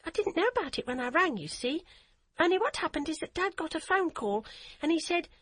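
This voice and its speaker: A woman doing an eager little girl, high and quick. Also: expressive, a bit bright, no room sound. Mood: excited. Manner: eager, breathless rush of words. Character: earnest anxious eight-year-old girl.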